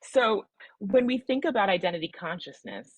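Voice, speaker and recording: A woman from the American Midwest presenting an online workshop, calmly guiding participants through reflection exercises over a video call. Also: mid-range voice, normal speed, husky, no echo. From Midwestern US, Michigan. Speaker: webinar presenter.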